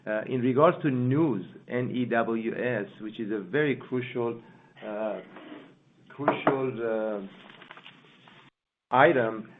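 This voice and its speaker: A man speaking as a chief executive on an investor call, answering off the cuff in a halting, repetitive way. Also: mid-range voice. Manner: halting, repeating himself. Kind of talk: unscripted conference call Q&A. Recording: muffled narrowband phone line.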